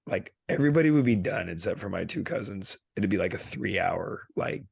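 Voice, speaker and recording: A man in his thirties from California, co-hosting a podcast and telling rambling, animated stories while losing his voice and clearing his throat. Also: deep voice, fairly quick, no echo, studio quality. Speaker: podcast co-host. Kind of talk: casual podcast chat.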